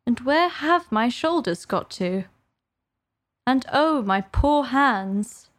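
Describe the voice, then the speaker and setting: A woman's voice: sits high, lively intonation, slow, pitched above the performer's own voice. A woman voicing an earnest, polite little girl with a questioning manner in a storybook. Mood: puzzled. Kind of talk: storybook character voice.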